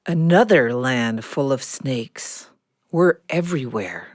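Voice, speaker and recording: A woman playing a calm, watchful old snake in an audio drama, speaking in sparse, deliberate phrases. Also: extremely low pitch, no echo, studio quality, full character voice. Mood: watchful, ominous.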